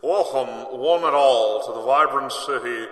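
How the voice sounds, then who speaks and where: Man acting a slow, echoing, pompous convention emcee wizard. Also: mid-range voice, a bit bright, close to an everyday voice. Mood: grand, disdainful. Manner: grandiose, haughty, formal announcing. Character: pompous, condescending magician master of ceremonies at a convention. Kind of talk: fantasy comedy audio drama. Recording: echoey hall with long reverb.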